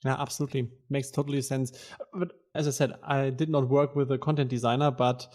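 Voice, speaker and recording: A man's voice: mid-range voice, little pitch movement, a little fast, darkish tone. A man co-hosting a podcast interview who talks casually, agreeing often and chuckling. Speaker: podcast co-host.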